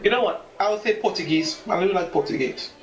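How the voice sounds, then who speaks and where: A man's voice: high-pitched, fast, rough recording. A young man co-hosting a podcast, emphatic and repeating short phrases. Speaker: podcast co-host. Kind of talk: casual chat.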